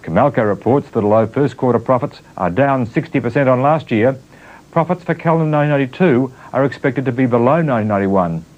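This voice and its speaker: A man reporting business news in a measured, dry news voice-over. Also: mid-range voice, lively intonation, a little mellow. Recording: muffled old TV broadcast.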